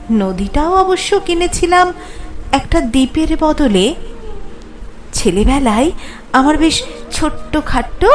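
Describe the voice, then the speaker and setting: A woman reciting poetry expressively and dramatically, in measured phrases with deliberate pauses. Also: fairly high pitch.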